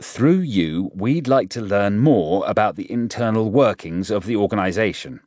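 A man's voice: lowish voice, lively intonation, moderate pace, darkish tone, dry recording, clean studio sound, pitched above the performer's own voice. A man voicing a commanding, formal general in an audiobook, speaking in a firm, official and emphatic way. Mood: commanding, persuasive. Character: commanding, formal male general briefing a meeting.

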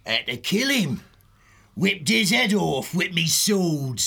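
A brutal sea rat pirate king from a storybook. The voice is forceful and gravelly, raised high and swinging widely. He gloats in a slow, salty drawl. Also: big pitch swings, exaggerated voice. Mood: gloating, taunting.

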